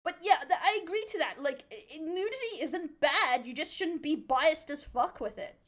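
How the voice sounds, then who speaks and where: High female voice, Australian accent, casual streamer reaction, giggly, sweary, joking. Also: animated, normal speed. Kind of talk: casual live reaction chat.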